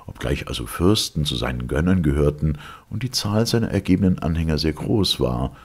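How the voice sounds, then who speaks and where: A man with a gravelly, husky voice reads a legend aloud as a storyteller, in a measured, literary manner. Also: rumbling low, lively intonation, a little mellow. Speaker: audiobook reader. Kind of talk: scripted storytelling narration of a legend.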